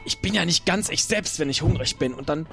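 A man playing an earnest, hungry pirate crewmate who deliberates aloud in an improvised audio drama. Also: a little high, expressive, sharp tone, faint music behind.

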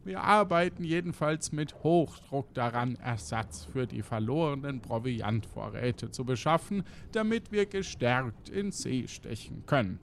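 A man's voice: mid-range voice, animated, measured pace, creaky, few pauses, slight background noise. A man playing a formal, overly polite pirate crew spokesman delivering an apologetic announcement in a comedy audio drama. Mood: solemnly explanatory.

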